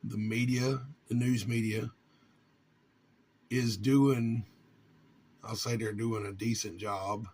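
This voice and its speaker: A man from North Carolina, talking informally to his viewers in a halting, earnest way with long pauses. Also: lowish voice, gravelly, slight background noise. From North Carolina, United States. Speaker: vlogger and amateur radio hobbyist.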